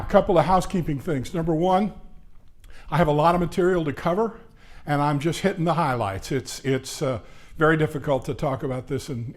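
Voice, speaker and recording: A man in his sixties presenting a legal seminar at a conference, talking loudly and quickly with frequent "uh" fillers. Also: medium pitch. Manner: loud, brisk, conversational lecturer with frequent "uh" fillers. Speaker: labor law attorney presenting a seminar. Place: conference session room. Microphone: handheld or stand microphone.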